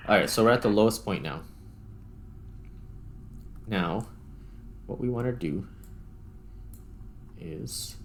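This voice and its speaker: A man's voice: on the low side, a little hiss or hum. A man giving casual gameplay commentary, thinking aloud in a tentative, self-questioning way as he narrates each step. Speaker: gaming video host.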